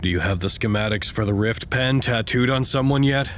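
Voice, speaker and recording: A man's voice: mid-range voice, brisk, a little hiss or hum, natural voice. A man acting in an audio drama as a weary, earnest staff administrator, speaking in a dry, understated way. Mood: businesslike.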